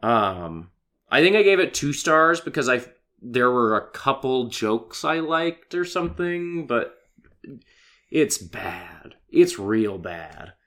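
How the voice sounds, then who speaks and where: Hoarse man, animated chat. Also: mid-range voice, slow. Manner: animated, laughing, swearing. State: hoarse from a cold. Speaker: podcast co-host. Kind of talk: casual chat.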